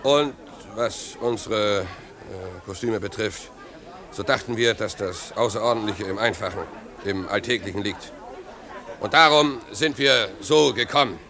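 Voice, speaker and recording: An actor playing a nervous, reluctant man giving a stiff, halting, polite speech in a 1950s radio play. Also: lowish voice. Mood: nervous, formal. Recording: 1950s radio recording with party crowd. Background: crowd of party guests.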